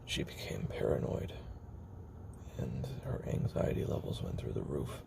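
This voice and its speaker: A man performs a haunted, weary assassin confiding his memoir in an audio drama. His voice is extremely low and thick with gravelly fry, often dropping near a whisper. He speaks haltingly and broods between phrases, over clearly audible noise. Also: wildly swooping pitch, exaggerated voice. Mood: brooding, wistful. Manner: halting, brooding, with trailing pauses. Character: haunted, weary male former child assassin recording tape memoir. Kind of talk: confessional dramatic monologue in audio roleplay. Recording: noisy homemade recording with background ambience.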